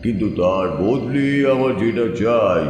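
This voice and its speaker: A man voicing a menacing aghori tantric spirit who speaks slowly and commandingly from the cremation ground. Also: fairly low pitch, darkish tone, slight background noise, lightly acted. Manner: slow, coaxing, commanding. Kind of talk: audio drama acting. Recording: heavy echo, long reverb, music under.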